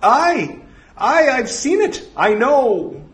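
A squeaky, very high-pitched storybook drone, eager to obey and easily bewildered. The speech is archaic and excitable, swooping up and down like a gullible servant's. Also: male voice, drawn-out words, heavily acted. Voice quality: falsetto, squeaky. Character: old slow-witted fanatical drone manager turned squeaky falsetto Mickey god.

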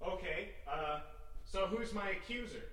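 Man playing a high-voiced, hapless defendant. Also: a little slow, bright, forceful tone. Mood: puzzled, protesting. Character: hapless, protesting defendant, resigned and quick to give in. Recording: echoey hall with music behind.

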